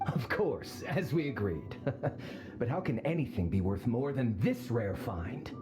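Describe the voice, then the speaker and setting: A grasping, haughty merchant whose voice swings widely up and down, quick to bluster and gloat. He sounds like a game cutscene with music playing under him. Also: medium pitch, sing-song. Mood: greedy gloating. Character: greedy boastful male merchant, middle-aged. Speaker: expedition sponsor.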